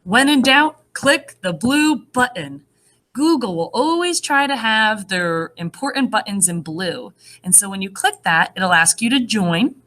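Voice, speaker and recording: A woman speaking as a first-grade teacher, reading and explaining to young children in an animated, encouraging way. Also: medium pitch, measured pace. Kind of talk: read-aloud and lesson addressed to young children.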